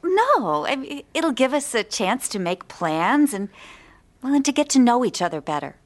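High-voiced, expressive, affectionate girlfriend. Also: moderate pace. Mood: happy. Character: affectionate, practical girlfriend, easily hurt. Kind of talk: radio drama acting.

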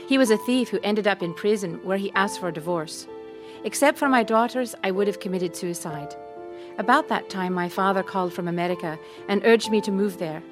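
A woman from Scotland reading her personal testimony in a plain, steady storytelling manner. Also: medium pitch. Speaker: testimony speaker. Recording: music bed behind the voice.

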